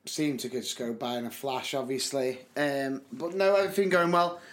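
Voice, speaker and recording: A young man with a slightly hoarse voice, co-hosting a podcast and telling stories in casual chat, laughing often. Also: mid-range voice, steady tone, normal speed. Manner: chatty storyteller, laughs often. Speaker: podcast co-host.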